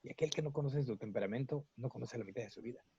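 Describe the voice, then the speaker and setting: A Mexican man, a psychologist, explaining his views at length in an interview, in a measured, lecturing way. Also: medium pitch, warm tone, husky, no room sound. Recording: dull, lo-fi, dry sound.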